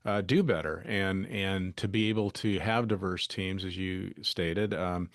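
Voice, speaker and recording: Male podcast host, very low voice, dry close sound, affirming. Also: steady tone, measured pace, no echo. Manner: affirming, with many fillers. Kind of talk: podcast interview.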